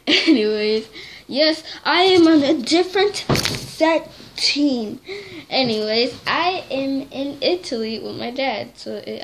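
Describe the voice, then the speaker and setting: A young teen girl talks to her camera in a small bedroom at night, rambling from one thing to the next and fixing her own words mid-sentence. Her voice sits a little high and she takes her time. A faint hiss lies under the close device recording. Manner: rambling, chatty, self-correcting. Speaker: vlogger. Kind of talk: casual chat to camera. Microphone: device camera held close.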